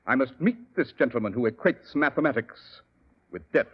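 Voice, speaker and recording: A man's voice: medium pitch, expressive, moderate pace, over loud music, natural voice. An actor playing a sardonic, precise male detective in a 1940s radio drama, on a dull old broadcast recording. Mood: sardonic, brisk. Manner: crisp, sardonic, elaborate diction.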